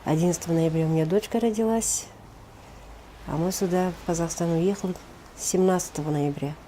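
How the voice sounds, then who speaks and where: A middle-aged woman from Tajikistan recalling her move in a documentary interview, soft and quick. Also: fairly low pitch, a little hiss or hum.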